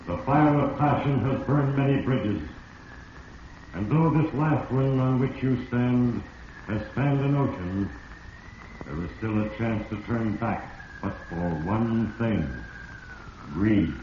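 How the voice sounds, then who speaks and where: An actor playing the ominous voice of Fate, narrating a 1940s radio drama solemnly and deliberately to a doomed schemer. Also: male voice, mid-range voice. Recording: muffled, hissy 1940s radio, heavy echo.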